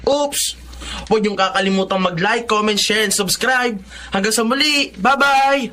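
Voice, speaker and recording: An actor playing an eager twenty-year-old Filipino son who draws and makes vlogs, in a radio drama. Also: male voice, high voice, moderate pace, sharp tone, a little hiss or hum. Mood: upbeat. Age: young adult. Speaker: lead actor and narrator.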